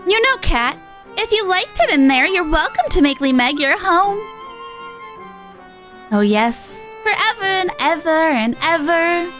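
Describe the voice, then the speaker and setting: Mischievous game pixie: very high, swooping, playful delivery. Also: female voice, very animated, a little slow, fairly bright tone, soft background music, exaggerated voice. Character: mischievous, teasing little pixie.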